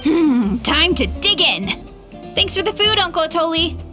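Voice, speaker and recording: A woman's voice: extremely high pitch, very animated, unhurried, over music, full character voice. A woman voicing an eager young woman with a huge appetite, impatient to start eating.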